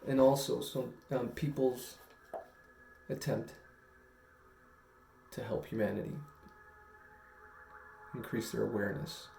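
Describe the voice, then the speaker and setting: A young man talking reflectively to camera in a slow, halting, earnest way with long pauses. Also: medium pitch, steady tone, vocal fry, mellow, slight background noise. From Guam. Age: young adult. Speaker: storytelling vlogger. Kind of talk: reflective personal talk to camera. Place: small room at home, indoors. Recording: roomy echo, faint music.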